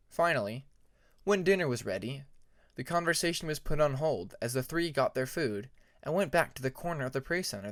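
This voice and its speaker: A man's voice: mid-range voice, dry recording, clean studio sound. A man reading a fantasy story aloud as a scripted audiobook narration. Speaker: audiobook narrator of fan fiction.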